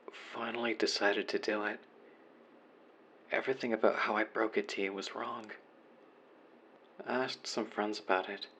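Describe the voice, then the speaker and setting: A man acting out a remorseful young man in a voicemail roleplay, speaking low with a gravelly fry. His delivery is earnest and confessional, staying flat and subdued, over faint background noise. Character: remorseful young man apologizing to his former best friend. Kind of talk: scripted audio roleplay, voicemail monologue.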